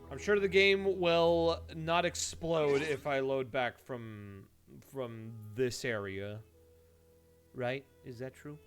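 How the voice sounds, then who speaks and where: A man's voice: mid-range voice, slow. A man doing casual live game-stream commentary, rambling playfully with dry asides. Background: music.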